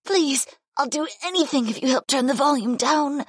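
A performer voicing a tormented female monster goddess in an audiobook, pleading urgently and devotedly in a husky, breathy woman's voice. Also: a little high, lively intonation, unhurried, dry recording, clean studio sound, voice pushed up, exaggerated voice. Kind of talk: audiobook character voice.

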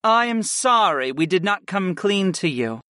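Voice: mid-range voice, very animated, measured pace, sharp tone, dry recording, studio quality, voice pushed up. A narrator voicing female goddesses in a fantasy audiobook, murmuring, coaxing and pouting in a sultry way. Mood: defensive.

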